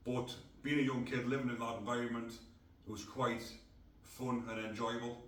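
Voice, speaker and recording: A man's voice: medium pitch, little pitch movement, moderate pace, a bit bright, a little hiss or hum. A middle-aged man from North East England, hosting a casual podcast in a blunt, rambling way. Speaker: podcast host. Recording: echoey room, distant mic.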